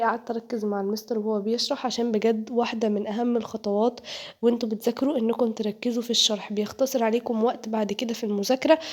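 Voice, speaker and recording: Teenage girl, Egyptian Arabic accent, stuffy voice from a cold, rapid-fire chatter, slightly high voice. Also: darkish tone. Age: teens. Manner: chatty, jokey, addresses viewers directly. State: has a cold, voice sounds off. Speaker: vlogger, high school student. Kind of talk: casual voice-over vlog narration to viewers.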